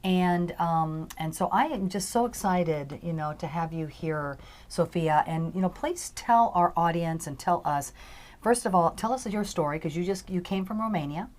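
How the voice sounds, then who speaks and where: A woman's voice: lowish voice, normal speed, a little mellow. Gushing woman radio host. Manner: gushing, rambling, with many fillers. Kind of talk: casual radio interview chat. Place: on a tour bus.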